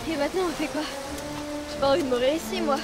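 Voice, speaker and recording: A woman's voice: high-pitched, very fast, loud music behind the voice, close to an everyday voice. A woman voicing a fussy young noblewoman who chatters and panics constantly. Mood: anxious. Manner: chattering, whiny, easily panicked.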